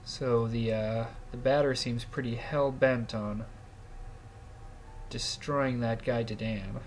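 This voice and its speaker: A man's voice: medium pitch, steady tone, takes it slowly, slight background noise. Sniffly young man commentating a game. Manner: chatty, easygoing, reacts aloud to the game. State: starting a cold, a bit sniffly. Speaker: let's play host. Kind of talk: casual gameplay commentary. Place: small quiet closet at a school. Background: faint swing game music.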